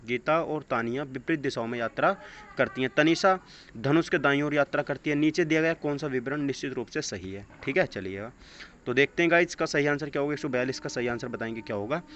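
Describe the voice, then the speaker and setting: A man's voice: mid-range voice, quick pace, fairly bright tone. A man teaching a test-prep lesson, reading out questions and answers in an instructional way and mixing in English phrases. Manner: instructional, mixes English phrases into his speech. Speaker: exam coaching teacher. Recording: dull lo-fi audio with clear background noise.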